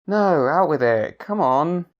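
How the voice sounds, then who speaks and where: A woman's voice: deep voice, animated, takes it slowly, a little mellow, lo-fi sound. A woman playing an impatient, sardonic dead soul in an audio drama. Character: impatient, sardonic dead person awaiting afterlife.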